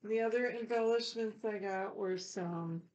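A woman's voice: mid-range voice, unhurried, darkish tone, dull audio. An older woman hosting a casual crafting video, thinking aloud and poking fun at herself as she works at a table. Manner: chatty, self-deprecating, thinking aloud while working. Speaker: craft channel host. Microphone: fixed camera over a craft table.

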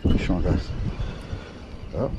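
A man giving casual, slangy running commentary to viewers while fishing outdoors, recorded on a body-worn action camera. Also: takes it slowly. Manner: informal, slangy, addressing viewers as guys. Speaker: fishing vlogger. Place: outdoors on an ocean fishing pier. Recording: loud wind noise on action camera outdoors. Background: loud wind and sea ambience.

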